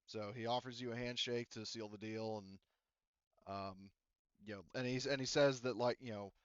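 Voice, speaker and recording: A man's voice: medium pitch, fairly even intonation, moderate pace, clean tone, dry recording, lo-fi sound. Rambling man running a tabletop game. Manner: rambling, many fillers like "you know" and "like". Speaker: game master. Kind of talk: narrating a tabletop game, relaying an NPC's offer.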